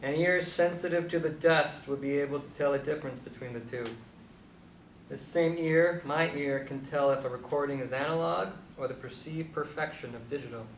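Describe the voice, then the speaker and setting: An actor playing a blunt, irreverent aging Beat intellectual who philosophizes deliberately in a stage drama. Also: male voice, a little high, steady tone, natural voice. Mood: pontificating. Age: older. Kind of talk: stage play dialogue. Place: live stage performance recorded from a distance. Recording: echoey, distant mic, faint noise.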